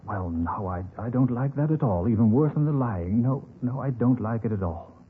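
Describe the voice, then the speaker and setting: An actor playing a gentle, trusting but stubborn elderly businessman in an old radio drama, speaking mildly and hesitantly and repeating himself. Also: medium pitch, animated, moderate pace, close to an everyday voice. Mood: troubled, reluctant. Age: older. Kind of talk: vintage radio drama acting. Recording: tinny, noisy vintage radio recording.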